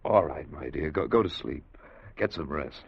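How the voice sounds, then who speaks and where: A grave, fatherly man of science in an old-time radio play. His deep voice is husky and gravelly as he probes and reasons, on a dull vintage recording. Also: animated, moderate pace, full character voice. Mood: weary, fatherly. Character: grave, questioning male doctor and time traveler, fatherly. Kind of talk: 1950s radio drama acting.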